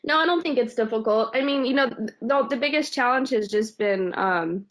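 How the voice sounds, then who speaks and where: A woman's voice: fairly high pitch, fairly quick. Woman singer chatting with many "you know"s. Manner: hesitant, many fillers and restarts. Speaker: singer in a cover band, guest. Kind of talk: casual interview chat.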